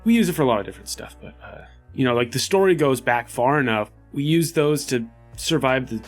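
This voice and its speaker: A man's voice: medium pitch, lively intonation, brisk, faint music behind. A man playing a tabletop RPG, improvising casually in character in a hesitant, rambling way. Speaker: player in a tabletop RPG podcast.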